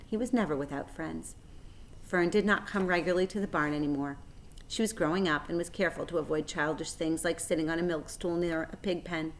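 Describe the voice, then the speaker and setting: A woman narrating a children's story aloud in a measured, expressive way. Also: lowish voice, slight background noise.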